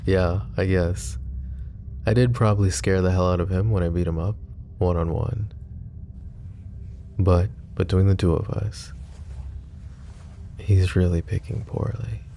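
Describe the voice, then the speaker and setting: Brooding mafia boss, a man in the role, deep and unhurried, close-mic ASMR, sweary and protective. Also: slight background noise. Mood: candid. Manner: calm, confiding, casually profane. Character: possessive, protective adult male mafia boss. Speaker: voice actor. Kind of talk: boyfriend ASMR roleplay.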